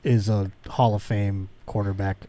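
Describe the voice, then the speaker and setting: A man's voice: on the low side, flat delivery, measured pace, mellow, dry recording. A man in his late thirties hosting a casual comedic podcast, talking in a blunt, slangy way with some swearing. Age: late 30s. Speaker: talk show host.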